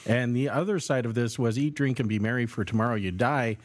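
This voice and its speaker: A man's voice: mid-range voice, brisk. A man co-hosting a theology podcast as a pastor, explaining ideas in a casual, conversational and slightly wry way. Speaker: podcast co-host, Lutheran pastor.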